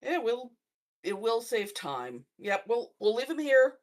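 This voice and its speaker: A woman playing a tabletop roleplaying game, speaking in character in a measured, deliberate manner. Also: on the high side, big pitch swings.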